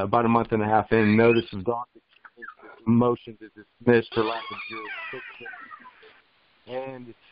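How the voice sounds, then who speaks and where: A man calling in by phone with a question, explaining haltingly in a hesitant, conversational way. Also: on the low side. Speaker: caller asking a question. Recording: dull phone audio, cutting out.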